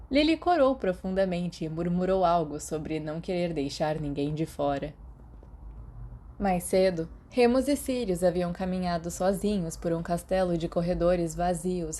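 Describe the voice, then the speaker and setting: Woman, Brazilian accent, audiobook narrator, slightly low pitch, dark mellow tone, scripted storytelling. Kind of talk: scripted fan fiction narration.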